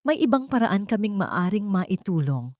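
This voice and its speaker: A woman's voice: medium pitch, very animated, moderate pace, darkish tone, no room sound, clean studio sound, natural voice. A woman with a Filipino accent voicing a composed, encouraging female foundation official in an audiobook, speaking formally and earnestly. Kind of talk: audiobook character dialogue.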